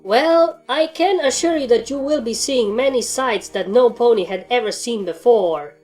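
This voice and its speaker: A woman plays a stern pony commander in an audio storybook, a mare who gives orders in a matter-of-fact way and is easily annoyed. Music plays clearly behind the voice. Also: a little high, normal speed, darkish tone, voice pushed up, close to an everyday voice. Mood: businesslike questioning.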